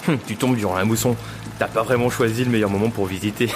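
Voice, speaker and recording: A man's voice: fairly low pitch, steady tone, fast, lightly acted. Man acting a chatty, slangy townsman over prominent music. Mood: amused, proud. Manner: familiar, slangy, teasing. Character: chatty working-class local townsman, mocking but helpful. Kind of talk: French audio drama dialogue.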